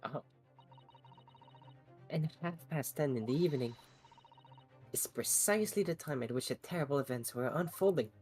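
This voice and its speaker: A man voicing a polite, earnest young assistant in a mystery game, speaking formally and in a measured way. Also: on the high side, expressive, a little mellow, soft background music, lightly acted. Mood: grave, earnest.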